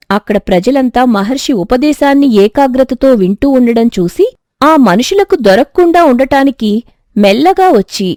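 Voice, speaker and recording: High, lively woman storyteller. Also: no echo, studio quality. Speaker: narrator. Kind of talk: scripted storybook narration.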